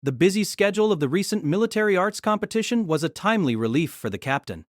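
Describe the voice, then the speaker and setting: A woman narrator reads a light novel in a scripted storytelling style. Her pitch moves in a lively, animated way, and the recording is close and dry. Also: quick pace, no room sound. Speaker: narrator and reader.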